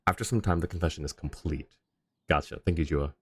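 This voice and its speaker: Deep-voiced man casually chatting on a livestream. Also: quick pace, breathy edge. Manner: friendly, chatty, informal. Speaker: video game livestreamer. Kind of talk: casual chat and live commentary. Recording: game music behind the voice.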